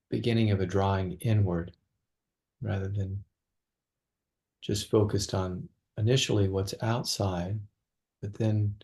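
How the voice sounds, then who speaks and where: A man leading a guided meditation as a teacher, speaking gently and slowly with long pauses between phrases. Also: on the low side.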